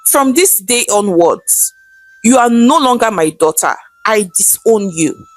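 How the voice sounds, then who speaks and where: A woman playing a stern middle-aged male pastor who speaks slowly and reproachfully. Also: on the high side, animated, no echo. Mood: cold. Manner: slow, grave, reproachful.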